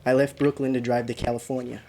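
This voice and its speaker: A man acting a haunted man in his thirties who recounts his road trip in a radio drama, urgent and halting. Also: medium pitch, little pitch movement, warm tone, soft background music, close to an everyday voice. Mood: haunted, desperate.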